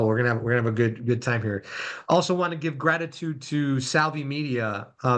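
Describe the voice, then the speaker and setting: A man's voice: mid-range voice, fairly bright tone. A male podcast host with a mid-range voice, opening the show and introducing his guest. He speaks effusively and often doubles up words for emphasis.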